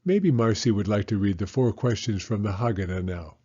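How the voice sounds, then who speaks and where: A man's voice: lowish voice, brisk, mellow, husky, lo-fi sound. A man voicing a thoughtful, kindly old grandfather in a storybook reading.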